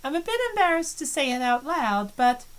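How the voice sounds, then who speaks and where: A bashful young college girl with an obsessive crush, voiced by a woman for a visual novel. Her voice sits high and swings widely, and she confides each line earnestly. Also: big pitch swings, fairly quick. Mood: confiding. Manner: earnest, confessional reading.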